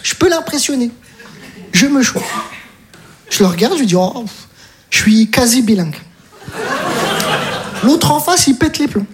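A woman's voice: a little high, expressive, a little slow, pauses often, a crowd in the background. A woman performing a stand-up routine, telling a story and acting out the dialogue with energy. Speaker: stand-up comedian. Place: comedy stage. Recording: noisy live recording.

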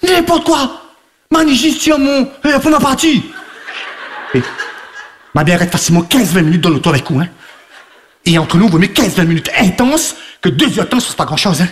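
Man doing a boisterous high-voiced party host. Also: sing-song, a little fast, long pauses. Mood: flustered, chatty. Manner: broken French, rambling, laughs through his words; sing-song chanting and sudden shouting. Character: boastful party-loving man juggling wife and mistress. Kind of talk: radio comedy sketch.

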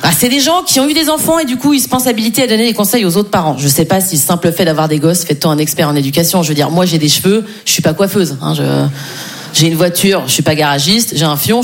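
A woman's voice: medium pitch, a bit bright. A woman in her forties from Switzerland performing a stand-up monologue on stage, sardonic and conversational, often checking in with questioning tags. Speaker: stand-up comedian. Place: live stage before an audience.

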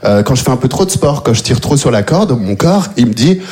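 A man's voice: medium pitch, lively intonation, a little fast, a little mellow. A man from Belgium, performing a stand-up routine and teasing the audience in a conversational way. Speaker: stand-up comedian. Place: comedy stage before a live audience.

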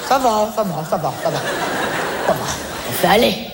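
A woman's voice: medium pitch, animated, normal speed, reverberant, crowd noise, noisy background. A woman in a comedy sketch talking in cooing baby talk to a pet.

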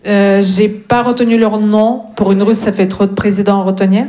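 A woman's voice: medium pitch, little pitch movement, normal speed, mellow. A woman with a Russian accent, a native Russian speaker, performing a cheeky, teasing stand-up monologue with some swearing to a live audience. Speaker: stand-up comedian. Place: live comedy stage before an audience.

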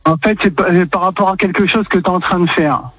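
A man's voice: high-pitched, brisk, fairly bright tone, soft background music, rough recording. A man from northern France, a truck driver chatting casually as he phones in from his parked truck. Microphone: phone call.

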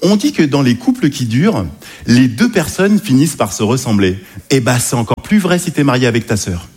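A man performing conversational stand-up comedy to an audience, animated, with hesitant asides and tag questions. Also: mid-range voice, brisk, slight background noise.

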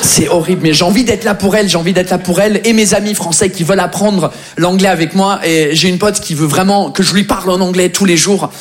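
A man in his thirties from England with an English accent, performing an animated stand-up routine that slips between French and English. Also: sits high, fast talker. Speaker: stand-up comedian.

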